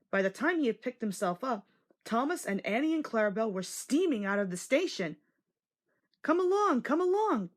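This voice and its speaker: A woman narrates a children's read-along story. Her voice sits fairly high and moves around with very lively, expressive intonation.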